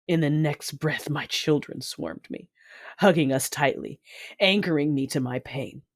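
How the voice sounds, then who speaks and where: A woman's voice: low voice, unhurried, dry recording, clean studio sound. An actress playing a hardened, fiercely loving warrior woman who narrates an audio drama in the first person with solemn intensity. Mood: anguish and rage.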